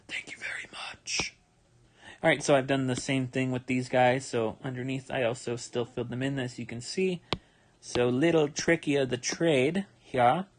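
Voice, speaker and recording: Young male artist streaming his drawing, tired, easygoing, mid-pitched, full of ums and restarts. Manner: relaxed, rambling, with many fillers and restarts. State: tired, yawning. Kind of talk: casual livestream commentary while drawing.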